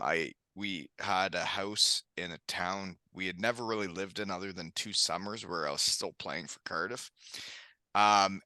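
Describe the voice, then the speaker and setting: A man's voice: fairly low pitch, sharp tone, smooth, no room sound. A middle-aged man hosting a podcast as a former hockey player, talking casually, candidly and in a rambling way. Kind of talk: casual conversational podcast chat.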